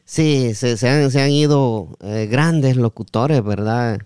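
A man hosting a casual interview, halting and often seeking agreement with tag questions. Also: mid-range voice, darkish tone, clear, resonant tone, dry recording, studio quality. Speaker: podcast host.

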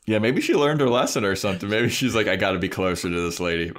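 A man's voice: fairly low pitch, fast. A man co-hosting a podcast, retelling a story in an informal, joking way. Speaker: podcast co-host. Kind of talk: casual chat, retelling a TV episode with acted-out quotes.

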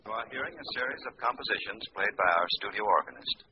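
An actor playing a formal radio announcer in an old radio drama. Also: male voice, on the low side, animated, fast, natural voice. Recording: dull old radio recording with hiss.

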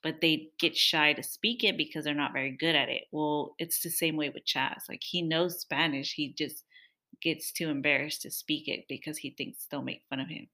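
A young woman chats casually with her partner on a podcast. Her voice sits a little low, and she talks freely and laughs often. She keeps complaining in a playful way. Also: steady tone, moderate pace, pushed and bright. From Mexican-American family. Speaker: podcast co-host.

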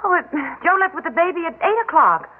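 A woman's voice: very high voice, very animated, quick pace. A woman playing an uncertain, worried young mother in an old radio drama. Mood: puzzled, worried. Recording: very noisy, telephone-like old radio recording.

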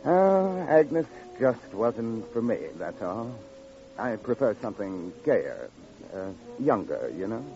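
A man's voice: mid-range voice, big pitch swings, takes it slowly, dark tone, faint music behind. An actor playing a suave, flippant ladies' man with a pompous, florid, mocking manner in an old radio drama. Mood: smug, mocking. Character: suave, flippant gigolo running a lonely hearts club. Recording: dull old radio recording.

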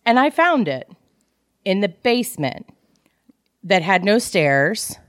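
A woman's voice: mid-range voice, takes it slowly, no echo, clean studio sound. A woman co-hosting a podcast, casually retelling a story and acting out bits of dialogue as she goes. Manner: animated retelling with acted-out quotes. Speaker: podcast co-host. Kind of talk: casual book club chat, retelling a story.

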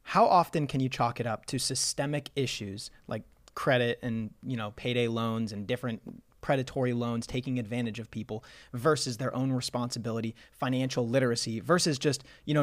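A man's voice: medium pitch, a little fast, few pauses, dry recording. A man hosting a podcast, asking a long, rambling question out of curiosity. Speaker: podcast host. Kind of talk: podcast interview.

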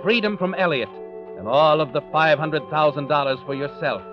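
A muffled vintage radio recording with clear music under it. An actor plays a male suspense narrator, knowing and sinister, slipping insinuations to the listener in a slightly raised pitch. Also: animated, normal speed, lightly acted. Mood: knowing, ominous. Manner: insinuating second-person address.

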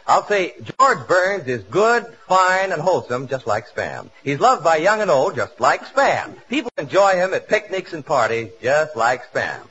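A genial, glib sidekick in a 1940s radio comedy. His voice is bright and animated in pitch, heard on a muffled old broadcast. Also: measured pace, hardly any pauses. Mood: hearty, effusive.

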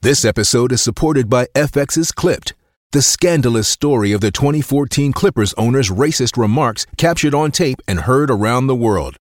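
A man's voice: medium pitch, lively intonation, normal speed, no echo. A man reading a scripted promotional message as a sponsor announcer.

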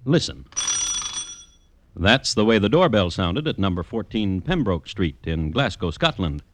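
A man hosting and narrating an old radio crime series in a dramatic, deliberate, wry storytelling style. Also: low-pitched, big pitch swings, noisy background. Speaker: host and narrator.

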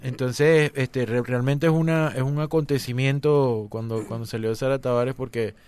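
A man co-hosts a radio music show with an ordinary mid-pitched voice, recorded very dry and close. He explains things in a relaxed way, leaning on fillers as he talks. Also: normal speed, smooth, dry recording. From eastern Venezuela. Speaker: radio co-host. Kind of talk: casual radio chat.

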